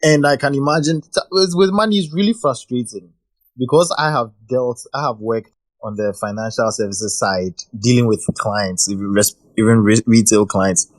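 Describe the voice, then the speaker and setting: A man's voice: medium pitch, moderate pace, dry recording. A man hosting a casual live audio chat, friendly and joking, often repeating words as he gathers his thoughts. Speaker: host. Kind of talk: casual discussion.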